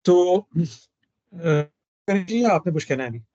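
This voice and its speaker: A man with a hoarse throat hosting a casual discussion, speaking in a measured, formal way. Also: sits high, animated, mellow, dry recording. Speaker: host. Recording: dull, dry lo-fi audio.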